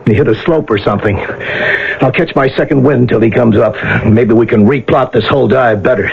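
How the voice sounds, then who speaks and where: An actor playing a brash, wisecracking diver in a radio drama, practical and full of breezy slang. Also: male voice, mid-range voice, moderate pace, creaky, husky. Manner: slangy, colloquial. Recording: dull, noisy vintage radio recording.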